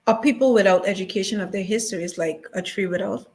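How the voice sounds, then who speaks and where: A woman's voice: mid-range voice, fast talker. A woman speaking as a guest on a talk show, earnest and repeating her points for emphasis. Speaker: museum guest. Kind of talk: casual talk show discussion.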